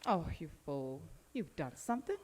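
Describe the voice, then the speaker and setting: An actress playing a doting, teasing wife who is tender and gently scolding, in a live radio play. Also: female voice, deep voice, sing-song, moderate pace. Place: live stage in a recreation center.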